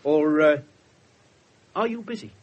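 An actor playing a wry, blunt English detective inspector in a radio drama, talking colloquially. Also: male voice, fairly high pitch, animated, measured pace, dull audio. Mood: wry, conversational. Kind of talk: 1970s British radio drama acting.

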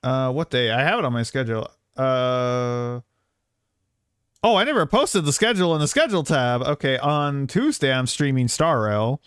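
A man's voice: medium pitch, lively intonation, moderate pace, smooth, no room sound, studio quality. A man chatting casually to viewers as a game streamer, rambling along and swearing now and then. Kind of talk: casual livestream chat to viewers.

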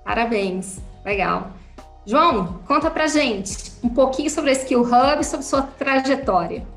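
A woman with a Brazilian Portuguese accent hosting a podcast, giving clear, measured introductions. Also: high voice, expressive. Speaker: podcast host. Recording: roomy echo, faint music.

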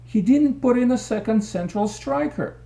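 A man's voice: very high voice, expressive, normal speed, creaky, mellow. Opinionated middle-aged man analyzing soccer. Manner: opinionated, gestures while explaining tactics. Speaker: soccer commentator. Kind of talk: post-match analysis monologue. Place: small room indoors. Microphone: webcam at desk.

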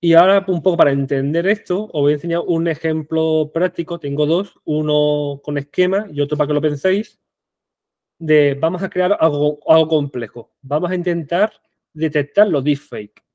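Young man, Andalusian accent, conference talk, somewhat high voice, dry close sound. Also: moderate pace, no echo. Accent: Andalusian Spanish. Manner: animated, rhetorical questions, frequent restarts. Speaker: conference speaker. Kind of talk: online tech conference talk. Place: small indoor room.

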